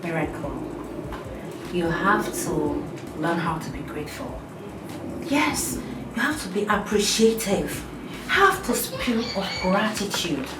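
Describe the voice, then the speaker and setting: An actress playing a domineering, sharp-tongued, self-serving girlfriend in a film drama, scolding theatrically with rhetorical questions. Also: lowish voice, big pitch swings, takes it slowly, very noisy. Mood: preachy, condescending.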